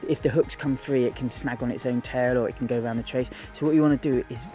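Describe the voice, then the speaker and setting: A man guiding a beginner through fishing by a lake, giving quick, repeated instructions. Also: mid-range voice, steady tone, mellow, faint music behind. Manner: urgent, repetitive instructions. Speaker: fishing guide. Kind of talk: on-the-spot coaching. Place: outdoors by a lake.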